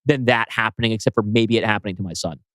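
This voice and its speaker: A man's voice: on the low side, quick pace, fairly bright tone, dry recording. A man co-hosting a podcast as a scriptwriter, talking casually and informally.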